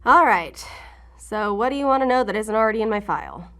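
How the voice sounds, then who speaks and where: An actress playing a guarded, defiant law-enforcement agent in an audio drama, with a sarcastic, defensive way of talking. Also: female voice, medium pitch, lively intonation, a little fast, fairly bright tone, faint music behind. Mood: guarded, flippant.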